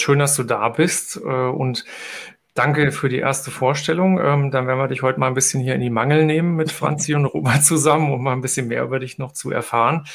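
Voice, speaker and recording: A middle-aged man interviews casually as a podcast host. His voice has a lot of fry in it. He feels his way into questions, haltingly rewording them. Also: medium pitch. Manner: halting, rephrasing questions as he goes.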